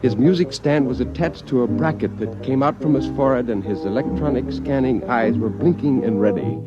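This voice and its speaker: An American man playing a frustrated, out-of-work bassoonist husband who also narrates, in a theatrical 1950s radio drama style. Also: a little fast, lightly acted. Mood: wry narrating. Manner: exasperated, indignant, theatrical. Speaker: lead actor and first-person narrator. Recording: muffled 1950s radio broadcast, music behind.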